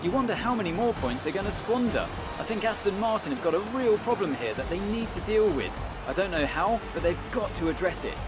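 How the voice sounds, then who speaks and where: Male motorsport pundit, critical yet fair, high pitch, game broadcast with strong music bed. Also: animated, brisk. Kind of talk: sports commentary in a video game.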